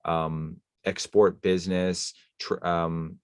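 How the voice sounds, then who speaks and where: A man's voice: low voice, level tone, slow, smooth, no room sound, clean studio sound. A man hosting a podcast, talking thoughtfully with lots of fillers and restarts. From Canada. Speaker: podcast host. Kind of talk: casual interview chat.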